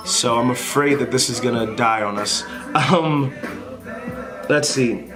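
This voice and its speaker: A man speaks casually to his camera with a mid-range voice and clear background music. He gives unscripted advice like a vlogger, wandering from point to point in an informal, apologetic way. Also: medium pitch, slow. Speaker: vlogger giving airline interview advice.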